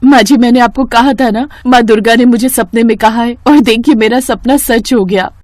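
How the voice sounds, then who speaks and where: A woman's voice: on the high side, moderate pace, a little mellow, slight background noise, close to an everyday voice. Woman as earnest, devout young mother. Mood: happy, devout. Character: devout, long-suffering young mother in a moral story.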